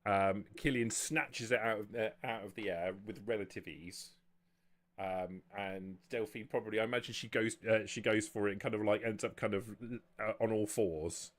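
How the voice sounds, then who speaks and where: A man working as game master, narrating and ruling on a tabletop game in a halting way, often repeating himself. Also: on the low side.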